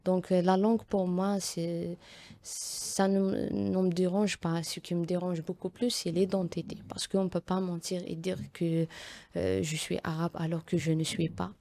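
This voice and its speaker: Low, flat-voiced woman. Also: measured pace, gravelly. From Algeria. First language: Tamazight. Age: young adult. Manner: hesitant, frequent fillers and restarts. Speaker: guest author. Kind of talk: radio interview answers.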